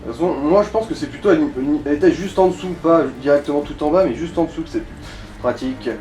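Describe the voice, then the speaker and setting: A man's voice: a little high, fast, darkish tone. A man in casual gaming chat, explaining and giving directions to the player in a helpful, slightly hesitant way. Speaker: co-commentator guiding the player. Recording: echoey room.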